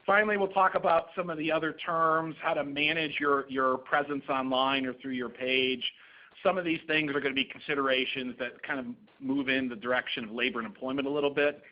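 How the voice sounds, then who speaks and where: A man in the middle pitch range presenting at a seminar, explaining practical points in a brisk, plain way. The recording is dull and muffled, like a meeting room webcast. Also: steady tone. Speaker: lawyer presenter. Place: conference room with a live audience.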